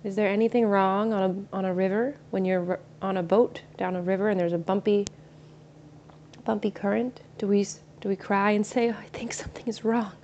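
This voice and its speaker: A woman guiding a meditation in a slow, soothing, soft-spoken way. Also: medium pitch, steady tone. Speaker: meditation guide. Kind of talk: guided meditation. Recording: slightly dull recording with faint ambient noise.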